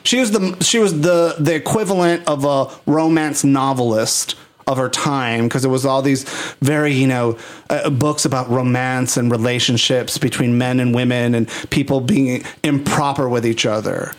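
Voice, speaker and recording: A man from Puerto Rico hosting a podcast, chatting casually and joking, with frequent halting restarts. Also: mid-range voice, fairly even intonation. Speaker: podcast host.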